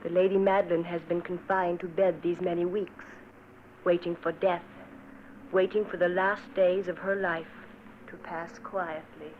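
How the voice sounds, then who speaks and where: A woman playing a gentle, timid young woman in a vintage radio play, speaking softly and solemnly. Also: on the low side, flat delivery, measured pace, lightly acted. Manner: soft, solemn and hushed. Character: gentle young woman, timid and dutiful, narrating her fate. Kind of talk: 1940s radio acting. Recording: tinny old radio transcription with faint hiss.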